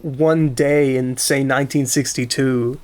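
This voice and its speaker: A man hosts a relaxed podcast chat at a slow, deliberate pace. His voice sits at a medium pitch, and he often repeats and rephrases as he works toward his point. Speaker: podcast host. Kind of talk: casual podcast conversation.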